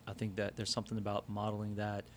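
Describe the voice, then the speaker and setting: Man, low voice, supportive conversational co-host, quick affirmations, faint background noise. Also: completely flat delivery. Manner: affirming listener, frequent agreement. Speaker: podcast co-host. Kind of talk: conversational discussion.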